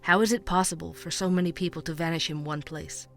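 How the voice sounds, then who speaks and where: A man's voice: on the high side, steady tone, fast, a bit bright, faint music behind. A man playing an earnest podcast investigator. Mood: ominous. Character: young male podcast host, dogged true-crime investigator. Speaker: podcast host. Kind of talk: fiction podcast drama.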